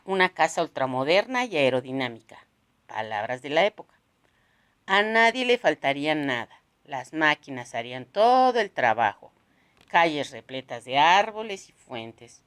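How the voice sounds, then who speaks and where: A woman narrating a literary novel aloud, expressively, in measured phrases with pauses. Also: sits low.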